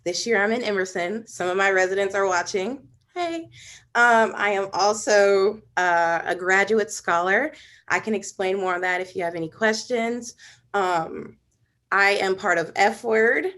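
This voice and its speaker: A woman's voice: medium pitch, a little slow, fairly bright tone. Chatty young woman, student. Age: young adult. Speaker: student resident assistant. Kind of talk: self-introduction.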